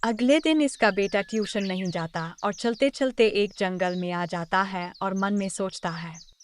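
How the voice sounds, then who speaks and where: A woman's voice: medium pitch, unhurried, slight background noise. A woman reading scripted narration for a moral story.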